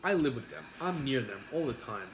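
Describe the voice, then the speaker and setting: A man's voice: medium pitch, very animated, brisk, a little hiss or hum. A man who drives a truck for work, chatting bluntly and teasing over a meal, swearing freely, his nose starting to run. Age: adult parent. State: runny nose. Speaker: mukbang host, truck driver. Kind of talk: casual chat while eating.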